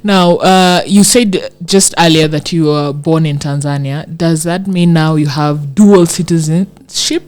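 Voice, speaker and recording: Lady host asking questions, friendly, kinda low voice. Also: a little slow, few pauses, darkish tone. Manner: friendly, curious questioning with frequent affirmations. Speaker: interviewer. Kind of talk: casual interview.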